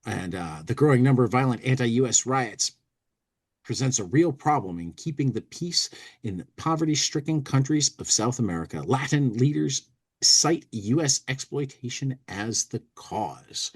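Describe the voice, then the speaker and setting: A man's voice: medium pitch, animated, normal speed, breathy edge. A man hosting a casual talk show, thinking aloud as he chats and reads bits from a magazine. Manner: thinking aloud while working. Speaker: podcast host.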